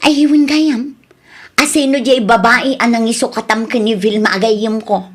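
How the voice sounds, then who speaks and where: A woman's voice: fairly high pitch, fairly even intonation, moderate pace, lightly acted. A woman playing a chatty, teasing, nosy female best friend in a comedy radio drama.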